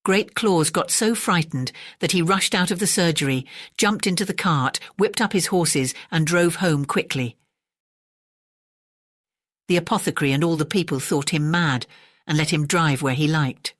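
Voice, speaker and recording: A storyteller narrates a classic fairy tale in audiobook fashion. The pitch sits in a middle range. The sound is dry, with very little room in it. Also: moderate pace, a bit bright, dry recording.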